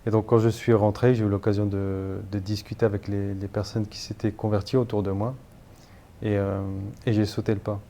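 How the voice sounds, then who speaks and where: A man in his thirties from northern France speaks in a low, mellow voice as an interview guest. He pauses often, restarting sentences and filling gaps. A faint hiss sits under the recording. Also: level tone. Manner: hesitant, many fillers and restarts. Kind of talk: interview, personal story.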